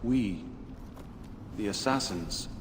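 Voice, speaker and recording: An actor playing a cool, menacing modern-day assassin in a video game, controlled and deliberate. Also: male voice, lowish voice, expressive. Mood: controlled, knowing. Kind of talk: dramatic cinematic video game acting. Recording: reverberant hall with clear background noise.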